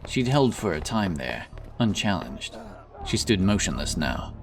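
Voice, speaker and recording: Male narrator, very low voice. Solemn, dramatic fantasy storytelling over soft music. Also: big pitch swings, measured pace.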